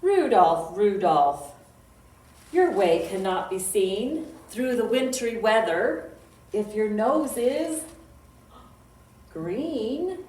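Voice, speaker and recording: Female children's storytime host, unhurried and playful, sing-song delivery, recorded at a distance in a roomy space. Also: mid-range voice, big pitch swings, warm tone. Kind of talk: children's storytime talk, reciting poems and singing. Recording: roomy echo, distant mic, faint noise.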